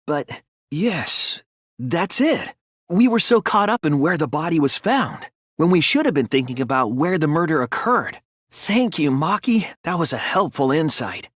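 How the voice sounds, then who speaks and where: A man voicing an earnest young apprentice detective in a dramatic anime video game, reasoning with deliberate intensity. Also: fairly high pitch, dry recording, studio quality. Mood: hesitant, then urgent, realizing. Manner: earnest, deliberate, deductive, sometimes hesitant.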